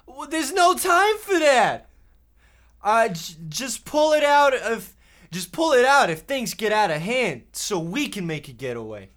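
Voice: medium pitch, very animated, a little slow, a bit bright. An actor playing a scheming, trigger-happy Depression-era boy with folksy, melodramatic delivery in a comic radio play. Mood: boastful. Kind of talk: comic parody radio drama.

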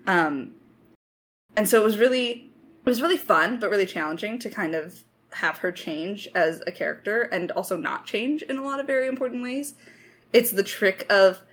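A woman talking casually and reflectively as a writer in a Q&A, with some self-deprecating humour. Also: on the low side. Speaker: lead writer of an audio drama. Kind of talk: casual Q&A discussion.

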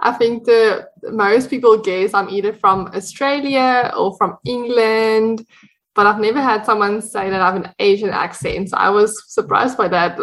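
A young woman from South Africa speaks with a South African accent, her first language being Afrikaans. She talks in a friendly, measured way, taking her time to explain. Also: medium pitch, fairly even intonation, fairly bright tone, low-quality recording. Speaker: guest, dietitian. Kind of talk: casual conversation.

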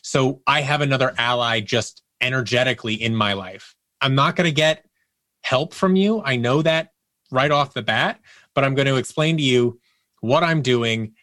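A man with a mid-pitched voice talks casually as a guest in an interview. He tells anecdotes with emphasis, slipping into quoted dialogue as he goes. The sound is dry and close. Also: sharp tone, no room sound. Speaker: podcast guest, audio producer.

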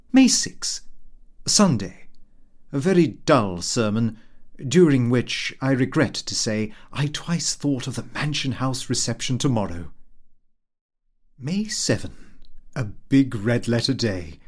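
A man narrating a comic Victorian diary as an audiobook, in a prim, self-important way. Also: medium pitch.

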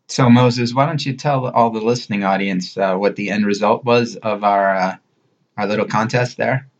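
A man's voice: on the low side, moderate pace, muffled recording. A man hosting a podcast, moving between conversational sponsor talk and scripted narration. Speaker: podcast host.

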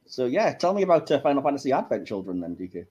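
A man with a husky voice hosting a casual podcast chat about films. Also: medium pitch, sing-song, quick pace, a little mellow. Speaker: podcast host. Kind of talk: casual film ranking chat.

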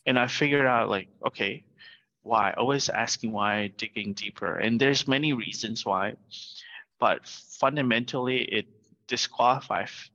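A man's voice: mid-range voice, a little slow, sharp tone. A middle-aged man from Vietnam, a startup founder, speaking earnestly as an interview guest over a video call and often adding "right?". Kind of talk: remote interview. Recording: dull, lo-fi call audio.

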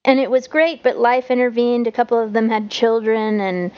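A woman with a high, squeaky voice, chatting animatedly as a podcast co-host. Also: moderate pace, dull audio. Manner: animated, squeals playful voices when quoting herself. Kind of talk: casual podcast chat.

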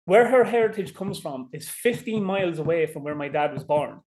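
A man's voice: a little high, expressive, fairly quick, a little mellow, poor audio. A man with an Irish accent, a podcast guest talking casually and rambling, with lots of "you know" and "like". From Ireland. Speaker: podcast guest, fishing guide. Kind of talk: casual interview chat.

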